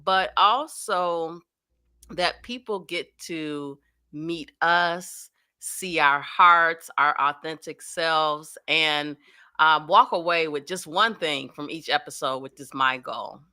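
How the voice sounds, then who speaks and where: A woman hosting an interview show, speaking in a deliberate, encouraging way to her guest. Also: deep voice, bright, forceful tone, clear, resonant tone.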